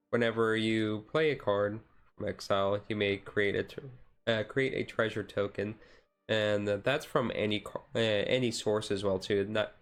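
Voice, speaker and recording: A man giving a casual, relaxed walkthrough in an ASMR-style stream, talking haltingly with frequent pauses mid-sentence. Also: fairly low pitch, smooth. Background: background music.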